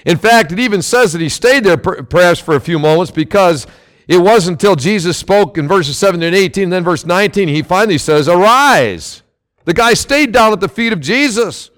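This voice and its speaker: A man preaching a sermon as pastor, loud and emphatic, with sudden swells and drops in his delivery. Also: sits high, animated, a little fast.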